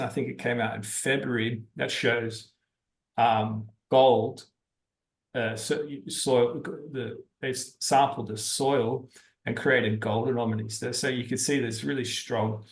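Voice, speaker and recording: A man giving unscripted explanations as an interview guest, talking haltingly as he thinks aloud. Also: medium pitch. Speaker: interview guest, geology-minded stock investor.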